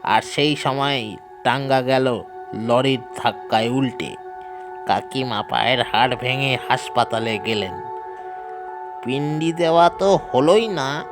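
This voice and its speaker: অভিনেতার কণ্ঠে ধীর, গম্ভীর সাবধানী স্থানীয় লোক, পেছনে বাজনা. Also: male voice, medium pitch, lightly acted. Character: grave local man recounting a haunted house's history. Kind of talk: dramatised horror audio story.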